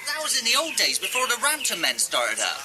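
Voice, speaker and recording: An excitable young village lad, high and lively in pitch, with a rural southern English accent. He is earnest and eager in radio play style, over loud background ambience. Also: fairly quick, background noise, exaggerated voice.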